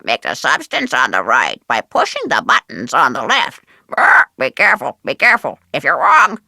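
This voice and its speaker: A woman's voice: very deep voice, huge pitch swings, moderate pace, gravelly, pushed and bright, no room sound, studio quality, heavily acted. A woman voicing a bossy, cryptic pet parrot in a video game, squawking and speaking in repeated sing-song rhymes. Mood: urgent, cryptic. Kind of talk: exaggerated video game character acting.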